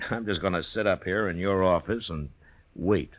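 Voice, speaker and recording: Deep low voice, American frontier marshal, steady, laconic, dry wit, unhurried, old-time radio western. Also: male voice. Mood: calm, resolute. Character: steady, dryly humorous lawman in his prime, Old West marshal.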